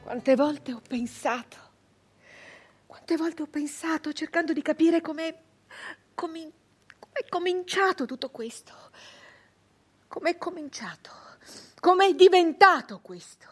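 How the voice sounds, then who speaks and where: An actress playing a proud, bitter peasant woman turned nineteenth-century brigand in a theatrical monologue, halting and repeating her words insistently. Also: sits high, lively intonation. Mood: anguished. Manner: slow, halting, insistent repetition.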